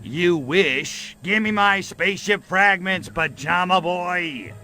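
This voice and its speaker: An actor playing a cocky, sarcastic, short-tempered alien invader in a video game, with a brash, slangy, gravelly delivery. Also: male voice, high voice, takes it slowly, bright, forceful tone, slight background noise, full character voice. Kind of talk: video game cutscene acting.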